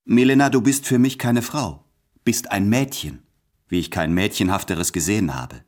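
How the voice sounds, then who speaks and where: An actor playing a shy, self-loathing lover with halting, deliberate phrasing in an intimate radio drama. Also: male voice, fairly low pitch, darkish tone, close to an everyday voice. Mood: awed, self-loathing. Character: shy, self-loathing male lover addressing his beloved.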